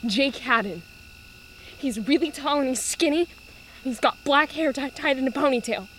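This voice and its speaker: A woman's voice: high-pitched, moderate pace, a bit bright, a little hiss or hum, low-quality recording. A woman playing a frantic, pleading young woman ghost trapped in a fire, in an audio drama. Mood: pleading, desperate. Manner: urgent, insistent, pleading.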